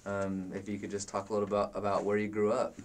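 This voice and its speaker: Guy asking the questions, really low voice, friendly n encouraging. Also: monotone, quick pace. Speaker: interviewer. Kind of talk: interview questions.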